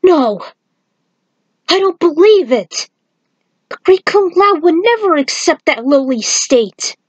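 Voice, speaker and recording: A woman's voice: very high-pitched, sing-song, slow pace, no room sound, pitched above the performer's own voice, full character voice. A woman voicing a devoted, troubled warrior monk uncle in a solemn, earnest way for a story. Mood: shocked denial.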